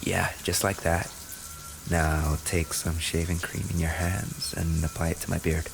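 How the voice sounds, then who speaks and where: A man playing an affectionate, injured racing driver boyfriend in an intimate roleplay audio, speaking softly and tenderly, often close to a whisper. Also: low-pitched, flat delivery, a little slow, gravelly, over music. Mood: relaxed, affectionate.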